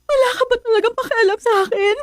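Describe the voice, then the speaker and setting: High-pitched, hurt young girlfriend. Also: steady tone, fast talker, no room sound, clean studio sound. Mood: tearful, upset. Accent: Filipino. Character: hurt, clingy young girlfriend craving affection. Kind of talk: radio drama acting.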